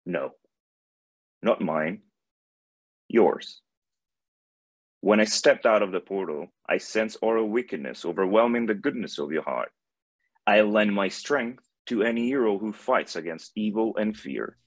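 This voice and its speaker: A man acts out a benevolent god of light for an animated fantasy. The voice is very deep and solemn, with grave, measured phrasing. The sound is dry and close. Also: no echo. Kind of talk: animated fantasy script table read.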